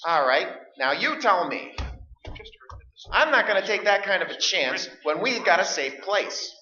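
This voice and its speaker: A dull, echoey stage recording of a radio play. An actor plays a combative middle-aged man with a very sharp, high voice. He is stubborn and scared, and he stammers as he argues. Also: big pitch swings, unhurried, slight background noise, exaggerated voice. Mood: combative, agitated. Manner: argumentative, agitated, stammering. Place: live stage performance.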